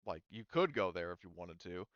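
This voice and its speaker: Male game master, low smooth voice, informal explainer, slightly dull dry close sound. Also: very animated, a little fast, fairly bright tone, no room sound. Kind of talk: casual tabletop roleplaying game talk.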